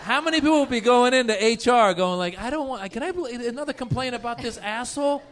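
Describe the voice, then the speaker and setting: A male panel guest in casual comedic chat, riffing with animated rhetorical questions. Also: very high-pitched, quick pace.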